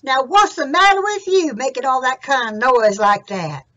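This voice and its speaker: A woman voicing a lazy but kind young country boy in a folk tale, with loud folksy exclamations. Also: high voice, animated, normal speed, muffled recording, voice pushed up. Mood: concerned.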